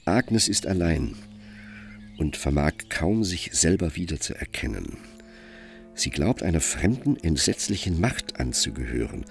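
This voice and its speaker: A man narrating a literary radio play in a measured, grave storytelling manner. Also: low voice, big pitch swings.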